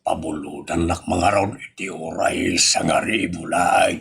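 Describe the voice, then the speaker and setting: A man's voice: sits low, steady tone, a little slow, creaky, fairly bright tone, husky, heavily acted. A man with an Ilocano accent playing a wheedling male friend out to borrow money in a comedy audio drama.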